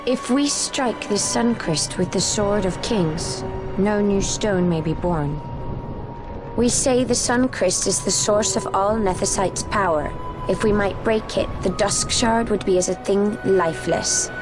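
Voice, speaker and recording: A woman voices a composed, scholarly adventurer in a fantasy game. Her delivery is measured and formal at a medium pitch, over a clear musical score. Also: over music, lightly acted, poor audio. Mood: grave, thoughtful. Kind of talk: video game cutscene acting. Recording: game score behind dialogue.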